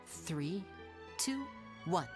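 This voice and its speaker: A man's voice: a little high, very animated, extremely slow, loud music behind the voice, heavily acted. A man voicing a suave, playful young stage magician in a video game, with a polished, courteous, theatrical delivery. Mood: showy, confident. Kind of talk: English video game voice acting.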